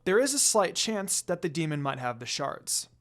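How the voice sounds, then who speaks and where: A narrator as an earnest, animated young priestess. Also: mid-range voice, moderate pace. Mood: earnest, explaining. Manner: earnest, easily flustered. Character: kind, flustered, outspoken young priestess woman.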